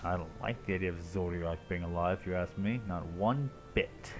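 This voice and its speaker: A man's voice: deep voice, normal speed. A man giving casual live commentary as a game streamer, wry and sweary. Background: game music.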